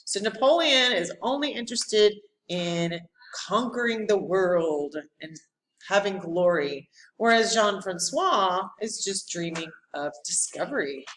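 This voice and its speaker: Woman reading aloud slowly, very animated. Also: mid-range voice, slight background noise, poor audio. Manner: deliberate, dramatic phrasing with pauses. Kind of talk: expressive read-aloud of a children's history storybook.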